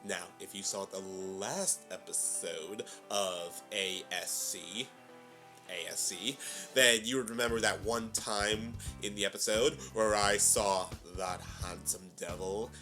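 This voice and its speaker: Bright-voiced theatrical male reaction host. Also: lowish voice, steady tone, slow pace, soft background music. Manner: theatrical, hammy. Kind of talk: excitable reaction commentary.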